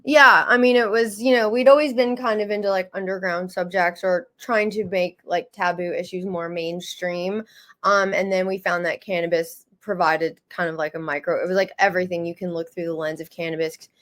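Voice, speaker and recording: A woman's voice: on the low side, steady tone, moderate pace, fairly bright tone. A woman from the United States, a magazine founder and publisher, answering interview questions in a casual, hesitant way full of fillers and restarts; she calls herself a little shy. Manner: self-described shy.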